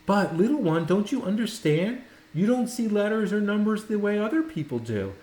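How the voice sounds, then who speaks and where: A man reading a storybook and voicing a kind, encouraging schoolteacher in a gentle, earnest, emphatic manner. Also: high voice, animated, normal speed, pitched above the performer's own voice. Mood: compassionate. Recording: roomy, echoing indoor sound.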